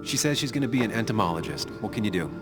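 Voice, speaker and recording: A low-pitched American man in his thirties acted by a man as a guarded game protagonist. He is understated and dryly witty, with music clearly playing behind him. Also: lively intonation, racing, lightly acted. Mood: dry, teasing. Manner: understated, dry. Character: guarded, dry-witted male journalist back in hometown. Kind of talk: naturalistic video game acting.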